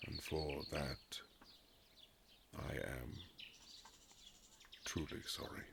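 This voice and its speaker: A man playing a reserved, formal Regency husband who is awkwardly tender, speaking in halting period phrases in a romantic audio roleplay, with a gravelly voice. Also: extremely low pitch, background noise, full character voice. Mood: regretful, solemn.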